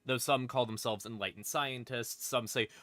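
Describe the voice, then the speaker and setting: A man casually explaining game lore on a stream in an irreverent, sweary, rambling way, with dramatic pauses for emphasis. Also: mid-range voice, fairly even intonation, bright, forceful tone, dry recording. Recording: music playing in the background. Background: creepy ambient music.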